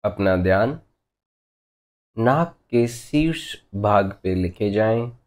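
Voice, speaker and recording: A man leading a guided meditation as a teacher, giving gentle, unhurried instructions in short phrases with long pauses between them. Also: lowish voice, animated.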